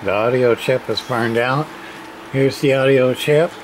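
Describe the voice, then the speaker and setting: A man's voice: mid-range voice, unhurried. A man casually narrating a hands-on radio repair demonstration in terse, offhand, folksy fragments. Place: indoor home workshop bench. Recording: noisy room with radio static. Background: CB radio noise.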